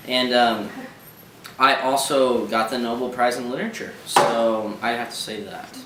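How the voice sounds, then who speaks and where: A young man playing a proud male author who talks bluntly and casually about himself on a homemade talk show. His voice sits at an everyday middle pitch. It is recorded from a distance in an echoey room with faint background hiss. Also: fairly even intonation, measured pace, close to an everyday voice. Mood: boastful. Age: teens to young adult. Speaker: student playing a famous novelist. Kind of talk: school project mock talk show.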